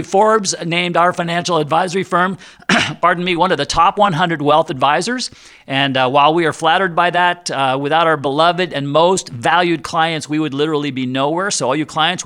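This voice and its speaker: A man's voice: fairly high pitch, bright, forceful tone. A man hosting an informal advice show as a financial advisor, chatty and folksy, talking straight to his listeners. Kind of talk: informal radio-style advice show, reading and answering listener questions.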